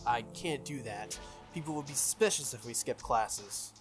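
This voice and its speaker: Earnest visual novel schoolboy lead read by a man, wary and hesitant, mid pitch. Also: normal speed, over music, natural voice.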